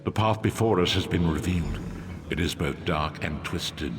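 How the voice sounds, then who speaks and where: An actor playing a solemn old prophet in a fantasy video game, making cryptic, portentous pronouncements. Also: male voice, low-pitched, lightly acted. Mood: ominous. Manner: portentous, slow-phrased pronouncements. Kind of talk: video game character dialogue.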